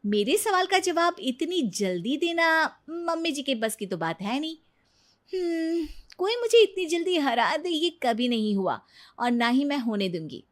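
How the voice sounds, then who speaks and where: Cartoon daughter-in-law, female actor: young, smug, challenging, self-assured, pitched well up high. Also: very animated, measured pace. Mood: smug, teasing. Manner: teasing, self-assured riddle posing. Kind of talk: animated Hindi moral story voice acting.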